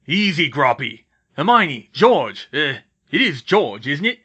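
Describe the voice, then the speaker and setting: A man voicing a big, gruff but kindly older giant of a man in a storybook, with rustic, ungrammatical speech. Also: a little high, very animated, slow pace, sharp tone, lo-fi sound, full character voice. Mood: surprised, relieved. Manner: rustic, ungrammatical, dropped words.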